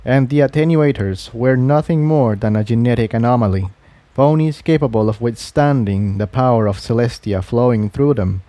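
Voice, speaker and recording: Male audiobook narrator for fantasy fiction, relaxed warm tone, very dry sound. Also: mid-range voice, moderate pace, no echo.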